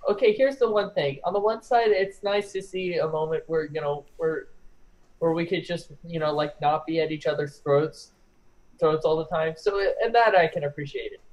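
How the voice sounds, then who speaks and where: A young man with a fairly high voice talks casually in an online group discussion. He hedges and backtracks haltingly and swears freely. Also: darkish tone, low-quality recording. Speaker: discussion participant. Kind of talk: casual chat.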